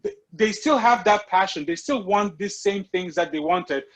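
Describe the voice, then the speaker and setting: Earnest man, slightly high voice. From Cameroon. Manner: earnest, animated storytelling with restarts and emphatic drawn-out words. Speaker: guest, sneaker customizer and reseller. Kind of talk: conversational interview.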